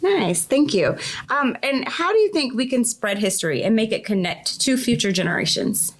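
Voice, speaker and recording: A woman's voice: on the high side, big pitch swings, moderate pace, soft background music. A woman hosting a podcast, interviewing a guest in a conversational style.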